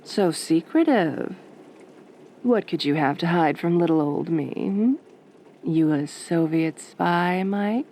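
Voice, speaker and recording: A woman playing a sultry, teasing late-night radio DJ in a soft-spoken roleplay, with a velvety voice and a flirty, wry drawl. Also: on the low side, slow pace, a little hiss or hum.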